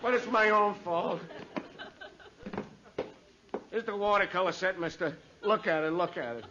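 An actor playing an exasperated, self-pitying department store clerk who frets and mutters, in old radio comedy style. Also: male voice, high-pitched, a little slow. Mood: exasperated, despairing. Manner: fretful, muttering complaints to himself. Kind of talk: 1950s radio comedy acting. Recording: dull, noisy old radio recording.

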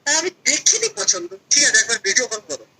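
A man's voice: very high-pitched, very animated, quick pace, creaky, bright, forceful tone, slight background noise, poor audio. A man with a West Bengal accent chatting casually on the phone, questioning and insistent. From West Bengal, India. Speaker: prank call recipient.

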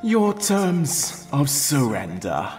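A woman's voice: very deep voice, sing-song, darkish tone, heavily acted. A woman playing a playful, lovestruck pony sweetheart in a fan audio drama, flirting and teasing. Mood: flirty teasing. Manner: flirtatious, drawn-out delivery. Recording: distant, music underneath.

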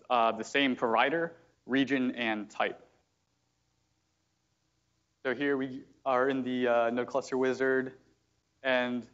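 A man explaining software step by step in a live technical demo at a meetup, with frequent fillers. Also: mid-range voice, muffled recording. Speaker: software engineer giving a product demo. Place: meetup room at a company office.

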